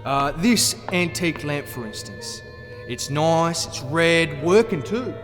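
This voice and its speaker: A man's voice: on the high side, animated, slow. An actor playing a powerful gnome king in a fantasy audio drama, gleefully menacing and mock-polite, toying with his listeners and swinging from chatty to grand. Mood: breezy showmanship. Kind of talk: full-cast fantasy audio drama acting. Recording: echoey reverberant hall, music underneath.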